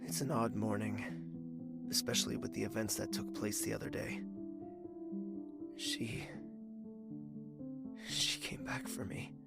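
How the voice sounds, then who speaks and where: Slow, creaky-voiced, brooding young prince played by an actor. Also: male voice, fairly low pitch, fairly even intonation. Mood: anguished, weary. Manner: slow, halting, sighing delivery. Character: scarred, brooding young prince, weary and wounded. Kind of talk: audio drama acting, inner monologue. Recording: loud music behind the voice. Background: loud music.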